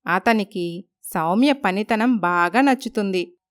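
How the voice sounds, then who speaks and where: A woman's voice: medium pitch, slow pace, smooth. A woman reading scripted narration for a moral story.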